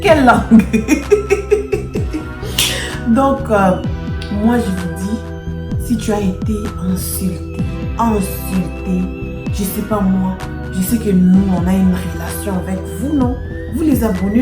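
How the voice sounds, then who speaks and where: A woman with a Congolese French accent addressing her subscribers in a casual vlog, emphatic, repetitive and playfully boastful. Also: medium pitch. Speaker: YouTuber. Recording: echoey room with music behind. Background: music.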